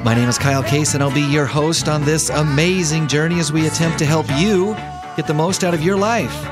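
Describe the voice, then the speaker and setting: A man's voice: on the high side, fairly quick, over loud music. A man hosting a radio show in a studio, chatting casually with plenty of fillers, restarts and easy laughter. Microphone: studio microphone.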